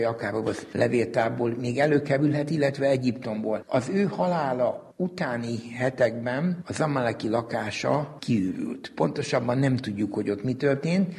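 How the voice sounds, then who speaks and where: Male researcher, mid-pitched, formal and precise, recorded with long reverb. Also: vocal fry, darkish tone. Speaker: researcher interviewee. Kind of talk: detailed historical explanation.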